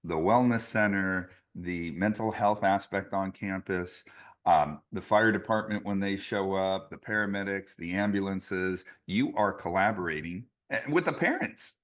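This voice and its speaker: A man's voice: deep voice, unhurried, fairly bright tone. A man hosting a casual podcast interview, an athletic trainer who speaks emphatically and repeats points for effect. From California.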